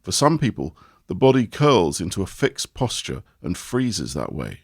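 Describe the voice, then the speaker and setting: A man giving a measured, expressive reading of a long article aloud. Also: low-pitched, creaky, breathy edge, no echo, clean studio sound. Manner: measured, expressive reading with lively rise and fall. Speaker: reader of an article. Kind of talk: read-aloud article narration.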